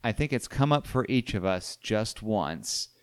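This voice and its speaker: Easygoing man, forties, podcast co-host, smooth lowish voice, joking asides. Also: expressive, measured pace, dry recording, studio quality. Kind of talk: casual chat.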